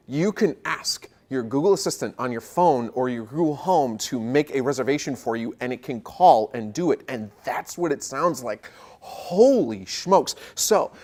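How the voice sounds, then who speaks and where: A tech video host with a medium-pitched voice talks in an excited, colloquial way. The delivery is emphatic, enthusiastic commentary addressed straight to viewers.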